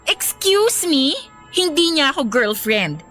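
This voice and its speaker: A brazen young other woman with a Filipino accent. Her delivery is sassy and dismissive, sharply bright and very animated, in radio drama style. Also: high-pitched, slow pace, faint music behind, full character voice. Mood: haughty scorn.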